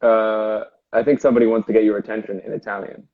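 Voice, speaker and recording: Husky man bantering on a lo-fi stream. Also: lowish voice, little pitch movement, fast talker. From grew up French-speaking, lives in New York. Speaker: guest on a live stream. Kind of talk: casual chat, bantering. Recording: dull, lo-fi live stream audio.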